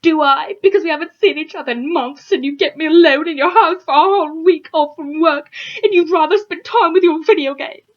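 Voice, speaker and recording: Insecure, bitter male lover from a romance story, played by a woman: high, loud, accusatory, lo-fi. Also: brisk, natural voice. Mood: hurt, tearful anger. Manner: wounded, loud, accusatory outpouring.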